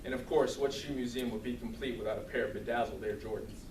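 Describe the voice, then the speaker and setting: A man giving a humorous storytelling speech to an audience in a theatrical, deliberate comic manner. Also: mid-range voice, steady tone. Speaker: speech contest contestant. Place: room with an audience. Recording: roomy, distant mic, clearly noisy.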